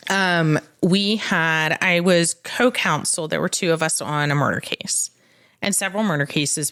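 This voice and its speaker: A woman's voice: fairly low pitch, a bit bright. A woman hosting a legal podcast as a trial attorney, telling stories in a casual, conversational way and repeating phrases for emphasis.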